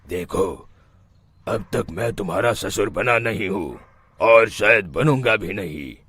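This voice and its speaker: Man voicing stern older village patriarch, cartoon, very husky, animated pitch, emphatic, dry close sound. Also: takes it slowly, dry recording, exaggerated voice. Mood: stern refusal. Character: stern older village men: father-in-law, landlord, exorcist.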